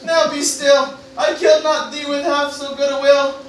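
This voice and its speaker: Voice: high voice, flat delivery, normal speed, natural voice. An actor playing an anxious, doomed young nobleman, declaiming theatrically in a parody Shakespeare radio play. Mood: solemn, resigned. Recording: very echoey live room, distant mic, noisy.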